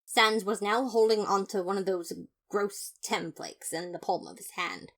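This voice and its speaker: A woman playing a pompous, fastidious skeleton detective, narrating a story in the first person with theatrical, haughty disapproval. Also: medium pitch, fairly even intonation, fairly bright tone. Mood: disgusted.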